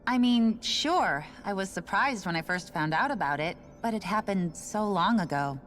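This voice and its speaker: A woman's voice: mid-range voice, animated, normal speed, natural voice. An actress voicing a casual, resigned woman station worker in a video game. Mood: offhand. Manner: casual, offhand, matter-of-fact. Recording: game audio with faint music.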